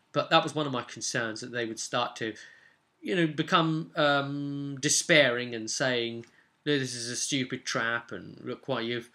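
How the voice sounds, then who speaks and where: A man's voice: medium pitch, sharp tone. A man talking casually and reflectively as a game master, rambling and questioning himself with tag questions as he goes. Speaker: game master and vlog host. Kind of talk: casual reflective monologue about tabletop role-playing.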